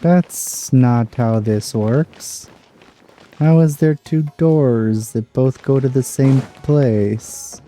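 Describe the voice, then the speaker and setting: A man hosts a let's play, narrating casually over the game. His tone is very dark and mellow. He keeps up a stream of wry, sarcastic asides. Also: mid-range voice, very slow, soft background music. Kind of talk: casual gameplay commentary.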